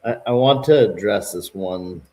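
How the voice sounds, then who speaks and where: Low-voiced Albertan man talking politics. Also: moderate pace, crowd noise. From Calgary and Vancouver. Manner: earnest, with many restarts and "you know". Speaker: livestream co-host. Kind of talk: casual political discussion.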